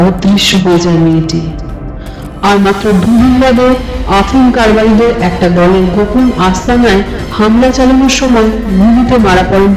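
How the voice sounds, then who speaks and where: A man narrating a scripted suspense story in a dramatic, measured way, with deliberate pauses. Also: sits high. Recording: roomy echo effect with background music. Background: music.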